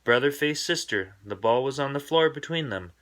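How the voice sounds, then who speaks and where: Male storybook narrator, bright forward voice, expressive bedtime read-aloud for young children. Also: medium pitch, normal speed.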